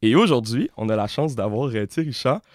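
A young man with a Québécois accent, a student hosting a podcast, speaking in a friendly, halting way with many restarts. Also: medium pitch, expressive, a little mellow, dry recording, studio quality. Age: young adult. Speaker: podcast host, music student. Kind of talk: casual podcast interview.

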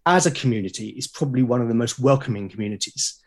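A man's voice: mid-range voice, animated, quick pace. Earnest British man chatting. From Britain. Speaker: podcast guest, illustrator and podcaster. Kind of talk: casual interview chat.

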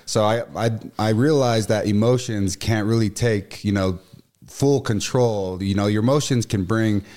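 A young man in his twenties who talks loudly and with emotion, a former football player chatting as a studio guest. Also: fairly low pitch, unhurried. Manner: loud, emotional, animated delivery. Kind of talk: casual radio chat.

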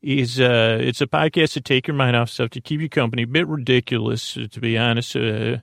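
A man hosting a bedtime podcast in a creaky, soothing voice, rambling haltingly and drifting into tangents. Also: mid-range voice, fairly even intonation, a bit bright, dry recording, clean studio sound. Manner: meandering, tangent-prone, halting; lulling, soothing. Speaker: podcast host.

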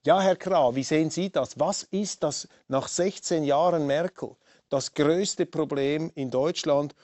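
A man's voice: fairly high pitch, a little slow, darkish tone, no room sound. Host guy asking long rambly questions, dry close sound. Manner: probing, musing questions with restarts. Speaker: host and moderator. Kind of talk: moderating a debate.

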